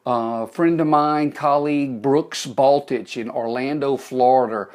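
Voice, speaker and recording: A middle-aged man from South Louisiana speaks with a thick Cajun accent in a middle-pitched voice. He talks into his phone on a live stream, folksy and joking, greeting viewers by name between his tips. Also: a little slow, darkish tone, clear, resonant tone. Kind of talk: live motivational talk to viewers.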